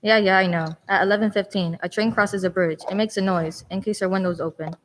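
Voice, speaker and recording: A woman acts a hired killer in a radio drama. The delivery is quick, clipped and businesslike, with a long hall reverb around the voice. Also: mid-range voice, fairly even intonation, slight background noise, lightly acted. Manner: clipped, matter-of-fact. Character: businesslike hired killer named George, male. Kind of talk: stage radio play acting.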